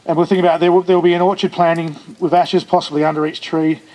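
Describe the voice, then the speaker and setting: A high, flat-toned man speaks at a funeral for his childhood friend. His delivery is earnest and halting, with frequent restarts. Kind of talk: funeral eulogy.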